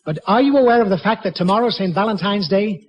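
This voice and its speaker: A man playing a blustering, grouchy middle-aged office boss in a 1940s radio comedy, gruff and exasperated. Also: high-pitched, fast talker, natural voice. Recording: dull old radio recording, loud audience.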